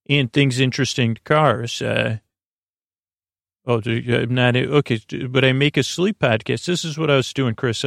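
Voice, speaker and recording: A man with a creaky, gravelly voice hosting a sleep podcast, rambling in a lulling, halting, meandering bedtime monologue. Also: mid-range voice, dry recording, clean studio sound. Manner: lulling, soothing, halting with tangents.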